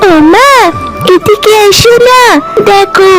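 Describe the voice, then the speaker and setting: A sweet little girl from a cartoon, performed by a woman, with a very high childlike voice. She is earnest and a little plaintive, feeling everything simply and openly. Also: steady tone, takes it slowly, music in the background. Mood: excited, hopeful. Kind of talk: animated cartoon dialogue.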